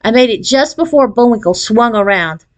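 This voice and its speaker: A woman's voice: a little high, expressive, moderate pace. Woman reading a children's book aloud. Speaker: audiobook reader. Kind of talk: expressive read-aloud of a children's novel.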